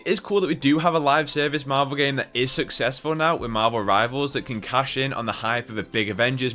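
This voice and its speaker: Male gaming commentator, mid-pitched and bright, chatty and opinionated, music underneath. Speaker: video game commentator. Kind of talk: casual opinion commentary to camera or mic. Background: background music.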